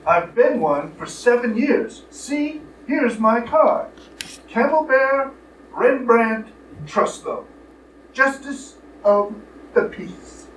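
A man's voice: extremely high pitch, expressive, slow, fairly bright tone, faint music behind, exaggerated voice. A man playing a befuddled, plaintive small-county justice of the peace in a comic radio play, whining as he talks. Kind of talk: live radio play acting. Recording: echoey, distant stage recording with noise.

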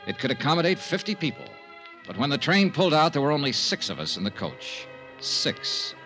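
An actor playing a hard-boiled, dryly wisecracking investigator in an old-time radio mystery. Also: male voice, a little high, wildly swooping pitch, a little fast, bright, forceful tone, faint music behind, full character voice, poor audio. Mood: wry, brooding. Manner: dry, wisecracking. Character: wry, hard-boiled male investigator narrating his case. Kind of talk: 1950s radio detective acting and narration.

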